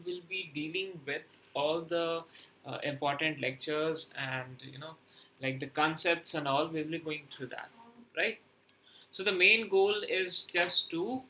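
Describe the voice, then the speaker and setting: A man leads an online class over a video call, in a loose, chatty way with plenty of okays and uhs. His voice is very bright and a little high for a man. A faint hiss sits under the call. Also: low-quality recording. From West Bengal, India. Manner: loose and conversational, many fillers like okay and uh. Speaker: online course mentor. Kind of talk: online class intro and lecture.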